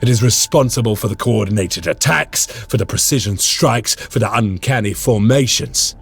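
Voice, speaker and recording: An actor playing a stern, zealous space marine commander in a video game, speaking in grand, solemn oratory. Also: male voice, fairly low pitch, normal speed, creaky, breathy edge. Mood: grave, brooding. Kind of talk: dramatic video game cinematic acting. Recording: dramatic music behind the voice.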